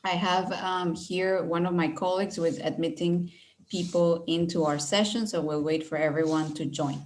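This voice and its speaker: A middle-aged woman from Venezuela, a university recruitment director, presenting an online webinar over a video call, friendly and measured with many fillers. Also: on the low side, steady tone.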